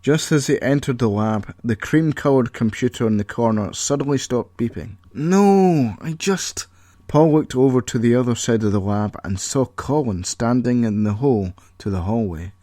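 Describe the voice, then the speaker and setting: A man narrating an original audio story in an even, measured reading voice. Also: mid-range voice, dry recording.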